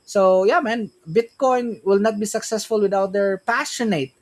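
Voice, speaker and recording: A man's voice: high voice, normal speed. Filipino man rambling on a stream. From Philippines. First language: Tagalog. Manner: rambling, repeats "right?" and "yeah, man", frequent restarts. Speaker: crypto stream host. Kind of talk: casual livestream talk.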